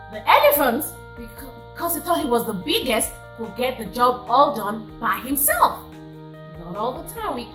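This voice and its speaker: A woman telling folktales to viewers in a deliberate way, repeating phrases for emphasis. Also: a little high, roomy sound, poor audio. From Nigeria. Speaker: storyteller and singer hosting a folktale channel. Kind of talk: oral folktale storytelling to viewers.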